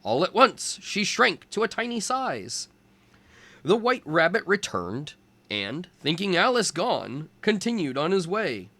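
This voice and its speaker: A man reading a storybook aloud as a teacher for young children, in deliberate phrases. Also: high-pitched, big pitch swings, bright, forceful tone, no room sound.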